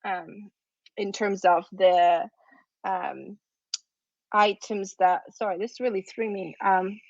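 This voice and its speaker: A woman's voice: mid-range voice, fairly even intonation, slow, gravelly, darkish tone, no echo. A woman whose first language is Russian gives an academic workshop talk on a video call, conversational and often checking in with "right?". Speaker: workshop presenter. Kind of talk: academic lecture. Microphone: computer microphone on a video call. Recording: dull, lo-fi online conference audio.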